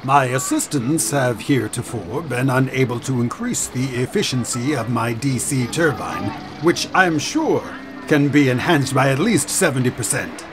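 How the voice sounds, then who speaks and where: A man's voice: medium pitch, animated, normal speed, background noise, natural voice. An actor playing a condescending, grandiose inventor-businessman in a period audio drama, pompous and patronizing.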